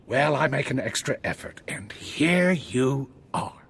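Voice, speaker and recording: A man playing an eccentric, visionary Jedi master in a video game, guiding a student with expressive, theatrical delivery in a husky, rough-edged voice. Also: mid-range voice, a little slow, heavily acted. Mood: eager, genial. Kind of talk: scripted video game dialogue.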